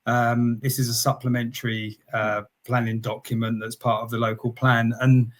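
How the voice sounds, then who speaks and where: Guy chatting, ordinary mid voice, explains things kinda haltingly. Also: medium pitch, level tone. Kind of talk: casual discussion.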